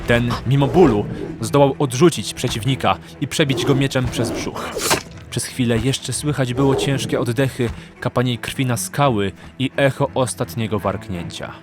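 Male narrator for fantasy audio drama: medium pitch, dramatic scripted reading, prominent music underneath. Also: moderate pace.

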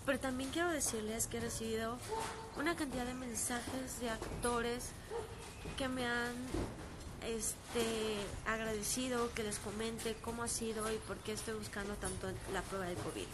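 A woman in her thirties with a Mexican accent talks casually and earnestly into her phone on an outdoor terrace. She is hoarse from a sore throat and dry cough, and she pauses often with fillers. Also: fairly high pitch, steady tone, background noise. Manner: earnest, halting, with frequent fillers. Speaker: actress streaming live. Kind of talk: casual live-stream talk. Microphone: phone at arm's length.

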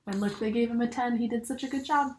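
A young adult woman reading a picture book aloud to children in a deliberate, instructive way. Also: on the high side, darkish tone, a little hiss or hum. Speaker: teacher or librarian reading to children. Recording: echoey room.

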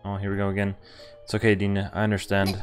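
A young man with a fairly low voice reads visual novel text aloud for a stream. He slips between narration and offhand commentary, with music playing softly behind him. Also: completely flat delivery, quick pace. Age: young adult. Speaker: game streamer. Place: indoor room, webcam stream. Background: game music.

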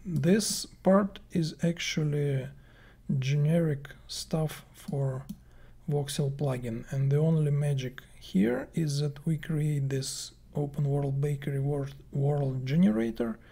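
Guy doing a screen tutorial, slow and halting, soft husky voice that drops to whispers. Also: fairly high pitch. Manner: slow, halting, thinking aloud with many fillers. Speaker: game plugin developer. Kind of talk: screen-recorded software tutorial.

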